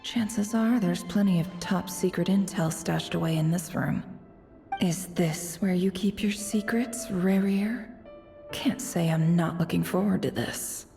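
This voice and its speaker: A poised, confident businesswoman for a video game. Her voice is lowish and mellow, and she speaks in a composed, measured, coolly wry way. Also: creaky, faint music behind. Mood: intrigued. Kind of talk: video game character voice acting.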